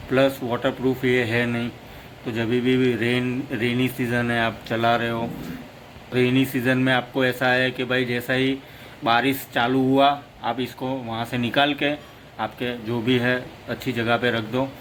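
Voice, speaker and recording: A man talks through a gadget demo in a flat, even tone, rambling from point to point. His voice is mid-pitched and sits over faint background ambience. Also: unhurried, a little hiss or hum, rough recording. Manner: rambling, repeats "plus" between points. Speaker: motorcycle vlogger. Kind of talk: casual product demo.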